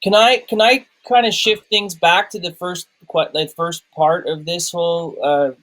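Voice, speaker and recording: Higher-voiced man in casual film chat. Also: fairly even intonation, measured pace, fairly bright tone. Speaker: film review podcast co-host. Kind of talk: casual movie discussion.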